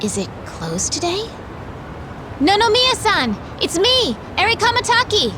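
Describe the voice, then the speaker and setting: A woman's voice: extremely high pitch, wildly swooping pitch, measured pace, background noise, heavily acted. An actress playing a polite, earnest young woman with a hesitant manner, in an English game dub. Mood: inquiring, surprised.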